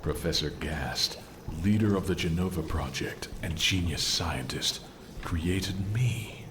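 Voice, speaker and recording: A man playing a cold, eerily calm super-soldier villain in a video game, speaking slowly and solemnly. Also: sits low, reverberant, background noise. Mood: cold, detached. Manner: slow, deliberate, solemn. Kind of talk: dramatic video game voice acting. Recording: game audio under loud music.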